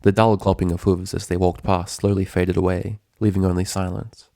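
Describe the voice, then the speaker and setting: A man's voice: low-pitched, level tone, moderate pace, creaky, dark tone, dry recording, studio quality. A man reading a piece of fiction aloud as scripted story narration. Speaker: fan fiction reader.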